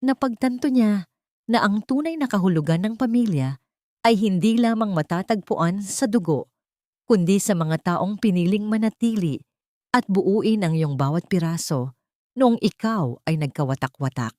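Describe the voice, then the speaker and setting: A woman reading a scripted, sentimental story in a measured, solemn manner. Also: mid-range voice, expressive, a little mellow, dry recording, clean studio sound. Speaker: storyteller, narrator. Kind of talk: scripted dramatic story narration.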